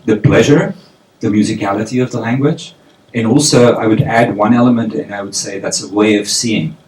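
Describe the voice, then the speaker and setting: Low-voiced male poet, thoughtful and musing, echoey hall. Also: a little mellow, a little hiss or hum. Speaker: festival panelist, poet. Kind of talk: public panel talk. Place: event hall.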